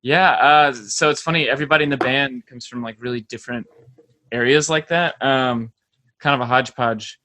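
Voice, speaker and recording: A man's voice: mid-range voice, moderate pace, pushed and bright, a little hiss or hum. A young man from South Carolina chatting casually as an interview guest, in a loose, rambling, easygoing way. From South Carolina, United States. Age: young adult. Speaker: band member, podcast guest. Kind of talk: casual chat.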